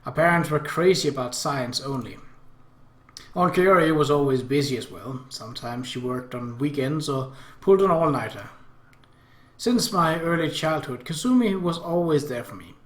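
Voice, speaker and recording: A man's voice: a little high, animated, normal speed, echoey room. A man reading visual novel narration aloud as a let's play host.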